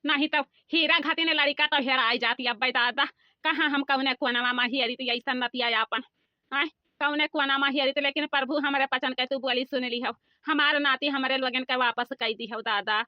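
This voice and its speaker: A woman's voice: sits high, steady tone, moderate pace, dry recording, natural voice. A woman voicing a timid, worried old village grandmother in a cartoon comedy, speaking plaintively with an Awadhi accent. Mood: relieved. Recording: dull lo-fi close dry sound.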